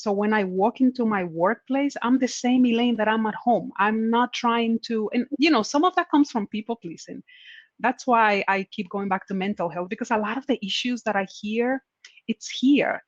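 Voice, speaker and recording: A woman's voice: fairly high pitch, no echo. Earnest woman on a dull video call. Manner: earnest, encouraging, repeats key points. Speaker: panelist giving career advice. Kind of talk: conference Q&A answers.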